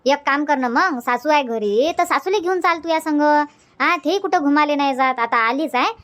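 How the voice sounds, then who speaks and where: A woman's voice: high-pitched, normal speed, a bit bright. A woman voicing a bossy, chatty cartoon village auntie with a Varhadi Marathi accent, insistent and repeating herself. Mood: bossy, advising. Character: bossy, sociable village auntie organizing her friends. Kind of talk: cartoon comedy dubbing.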